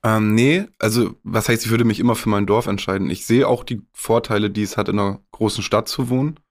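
A man's voice: fairly low pitch, steady tone, brisk. A young man from northwest Germany, a rapper speaking candidly and casually in a podcast interview, with some swearing and English slang.

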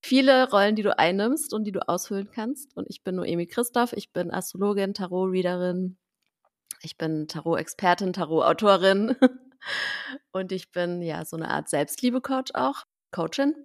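Podcast host, woman, lively casual talker with lots of fillers and laughs. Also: medium pitch, moderate pace. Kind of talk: casual chat.

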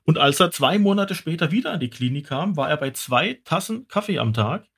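A man's voice: a little high, lively intonation, fairly quick, fairly bright tone, slightly rough. A man speaking as an expert podcast guest, explaining things as casual storytelling.

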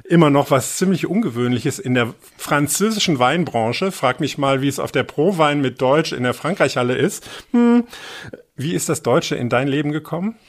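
A man's voice: fairly high pitch, lively intonation, normal speed. A man hosting a wine podcast, chatting in a friendly and curious way with his guest. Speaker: podcast host. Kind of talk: podcast interview, relaxed chat.

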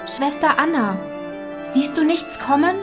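A woman's voice: high voice, a little slow, over music, voice pushed up. A woman voicing a frightened young wife in a fairy tale, anxious, pleading and dramatic. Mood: anxious calling.

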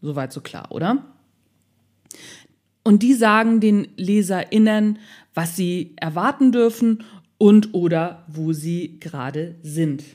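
A woman's voice: on the low side, slow pace, a little mellow. A woman with a Northern German accent giving a casual blogging tutorial, chatty, playful and colloquial as she speaks to the viewer.

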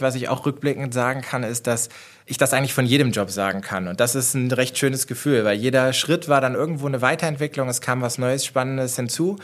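A man in his forties from Germany, a company director answering interview questions thoughtfully, with frequent fillers and restarts. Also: medium pitch. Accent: German (northern German). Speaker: managing director, guest.

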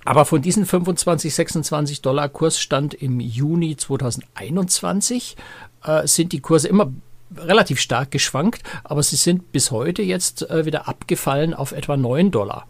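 A man's voice: on the high side, moderate pace, no room sound. A man co-hosting a podcast, explaining things in casual talk. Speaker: podcast co-host and cruise journalist.

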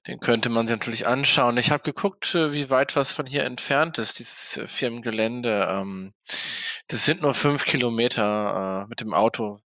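A man playing a polite, practical vampire investigator in an audio drama, thinking aloud haltingly. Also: medium pitch, sharp tone, no room sound. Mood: pondering, practical. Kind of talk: improvised role-play audio drama dialogue.

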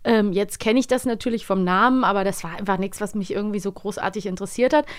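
A woman's voice: medium pitch, fast, smooth, dry recording, studio quality. A woman chatting casually as co-host of a podcast. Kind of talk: casual chat.

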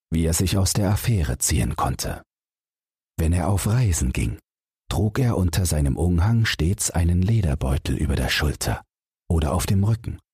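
A man's voice: low voice, a little mellow, breathy edge, no echo, studio quality. A man narrating a scripted bedtime fairy tale as an audiobook reader.

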